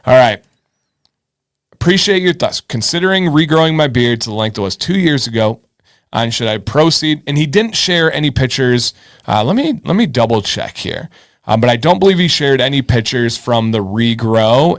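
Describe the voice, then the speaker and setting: A man's voice: medium pitch, lively intonation, moderate pace, no echo, studio quality. A man hosting a live advice show in casual chat, informal and encouraging, thinking aloud and repeating himself.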